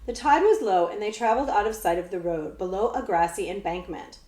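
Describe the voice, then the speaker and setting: A woman librarian reading a children's story aloud, pausing for casual explanations. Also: medium pitch, animated, darkish tone. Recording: echoey room sound.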